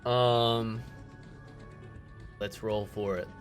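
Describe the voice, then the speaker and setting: A man's voice: lowish voice, fairly even intonation, very slow. A man playing a tabletop roleplaying game, chatting casually and hesitantly as he thinks aloud. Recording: music playing faintly behind.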